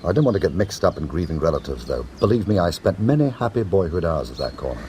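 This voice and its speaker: An actor playing a glib, dryly witty criminal schemer in a radio drama. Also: male voice, deep voice, very animated, quick pace, mellow, a little hiss or hum. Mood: glib, evasive. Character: smooth criminal schemer, glib, tender with his woman.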